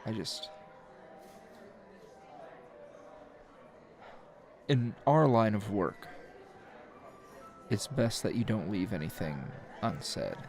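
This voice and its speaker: A man playing a tired, gruff, dryly teasing hero schoolteacher in an ASMR roleplay, speaking low and gravelly in a halting, understated way. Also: very animated, music in the background. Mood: tender, hesitant. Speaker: voice actor.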